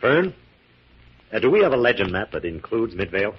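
An actor playing an assured, methodical scientist hero with a measured, authoritative manner, in 1940s radio drama style. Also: male voice, on the low side, very animated, slight background noise. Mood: businesslike, assured. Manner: crisp dictation, measured, authoritative. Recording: dull, muffled 1940s radio recording.

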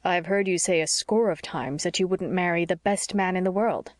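A woman's voice: fairly low pitch, normal speed, no room sound, lightly acted. A woman voicing a young husband in an audiobook story, speaking solemnly with a dry, teasing edge.

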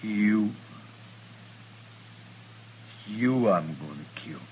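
An American actor playing a broken, desperate gunman in a Western radio drama, with halting, stammering delivery. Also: fairly low pitch, expressive, darkish tone. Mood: drunken, menacing. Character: broken, desperate drunken gunman who lost his nerve. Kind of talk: 1950s Western radio acting. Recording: humming, noisy old radio recording.

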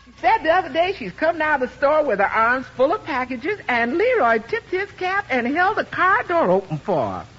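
An actress playing a good-natured, wry, folksy household cook in a 1950s radio comedy. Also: female voice, high voice, big pitch swings, moderate pace, few pauses, a bit bright. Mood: agreeable. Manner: folksy, deferential yet teasing. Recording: muffled, noisy 1950s radio recording.

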